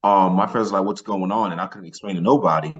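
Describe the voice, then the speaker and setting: Man from Nigeria, low-pitched voice, casual storytelling, colloquial phrasing, interview guest. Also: fairly even intonation, fast talker, dull audio. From northern Nigeria. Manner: colloquial, peppered with "man" and "you know". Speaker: podcast guest, former athlete.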